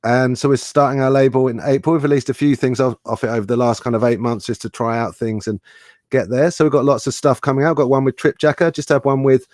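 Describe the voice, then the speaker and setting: A man's voice: medium pitch, fairly even intonation, fast talker, darkish tone, no echo, clean studio sound. A man speaking as a musician guest in a casual, unscripted interview.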